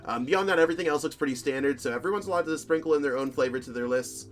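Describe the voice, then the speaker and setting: A man with a slightly hoarse voice, hosting a casual, rambling commentary over card game deck lists, full of fillers and self-corrections. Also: a little high, racing, slight background noise.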